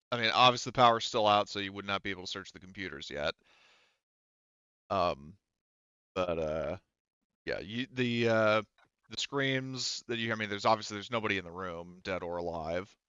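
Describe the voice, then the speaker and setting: A man with a lowish, clear voice narrates a tabletop game as its game master. He feels his way through descriptions in a hesitant, conversational style. The sound is dry and slightly dull. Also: normal speed, pauses often, bright, forceful tone, dry recording.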